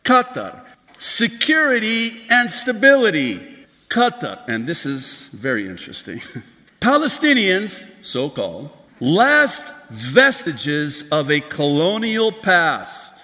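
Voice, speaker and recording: Male pastor, slow and emphatic sermon delivery, lively pitch, reverberant hall. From Lebanon. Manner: emphatic, building to raised outbursts. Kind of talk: preaching a prophecy sermon.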